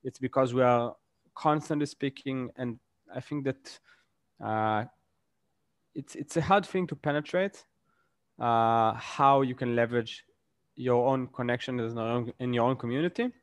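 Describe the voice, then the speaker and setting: A man's voice: medium pitch, unhurried, no room sound. A man, a guest in a casual interview, explains his points with examples and often checks in with "right?".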